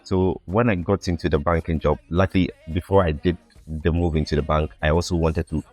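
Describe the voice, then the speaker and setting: A man's voice: deep voice, steady tone, a little fast, darkish tone, soft background music. A young man from Ghana, a podcast guest telling his life story in an earnest, reflective way and often repeating words while he finds his phrasing. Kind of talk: casual interview storytelling.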